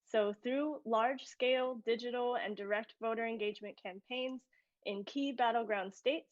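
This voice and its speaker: A woman's voice: a little high, normal speed, dry recording. A woman co-hosting an activist live stream, reading an upbeat script. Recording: dry, muffled audio.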